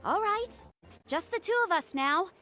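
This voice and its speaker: An actress playing an exasperated, protective younger sister and doctor in a video game, scolding emphatically. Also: female voice, very high voice, animated, normal speed, pushed and bright, soft background music, heavily acted.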